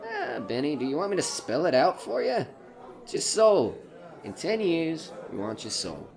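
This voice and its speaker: A man's voice: a little high, huge pitch swings, normal speed, gravelly, a little mellow, full character voice. A man playing a folksy, slyly cheerful salesman of devilish contracts in a retro horror audio drama, coaxing with colloquial patter. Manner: wheedling, colloquial patter with tacked-on questions.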